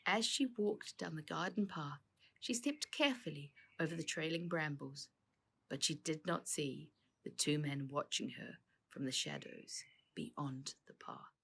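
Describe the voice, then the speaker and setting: A woman's voice: low-pitched, a little slow, gravelly, a bit bright, husky. A woman narrating a children's story as the author reading her own book.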